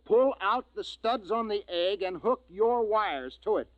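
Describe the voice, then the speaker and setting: Terse space commander on tinny radio. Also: male voice, high-pitched, expressive, measured pace, no room sound. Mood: urgent. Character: resolute, urgent male commander of a space expedition. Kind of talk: 1950s radio drama acting, with narration. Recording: tinny, narrow vintage radio recording.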